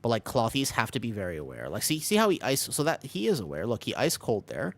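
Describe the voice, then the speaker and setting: A man streaming a video game live, chatting with viewers and explaining tactics while he plays, his voice still raspy from being sick. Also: mid-range voice, huge pitch swings, quick pace. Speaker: streamer playing a tank. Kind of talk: live gameplay commentary.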